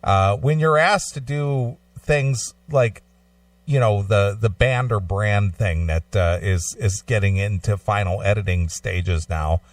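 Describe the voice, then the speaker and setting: A middle-aged man with a lowish voice hosts a radio show, putting questions conversationally. The sound is very dry, though he sits a little away from the microphone. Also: takes it slowly, no room sound, recorded from a distance. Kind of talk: conversational interviewing.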